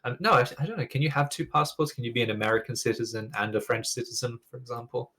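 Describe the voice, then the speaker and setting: A man's voice: medium pitch, fast talker, sharp tone. A young man from Ukraine with an English accent, whose first language is Russian, chatting as a guest in an interview and rambling with restarts. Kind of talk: casual interview conversation.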